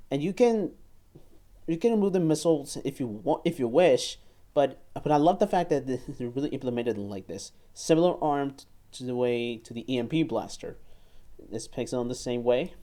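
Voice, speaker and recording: Man in his twenties, soft mellow tone, enthusiastic reviewer, halting delivery, repeated words, casual. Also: medium pitch. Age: 20s. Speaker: toy reviewer. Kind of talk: casual hands-on product review. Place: small bedroom, indoors.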